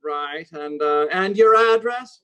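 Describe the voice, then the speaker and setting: An actor playing a weary, dismissive city police sergeant in 1940s radio drama style, placating and patronizing. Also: male voice, fairly high pitch, takes it slowly, pushed and bright, clear, resonant tone. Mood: distracted, routine. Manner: hesitant, placating, then brusquely patronizing.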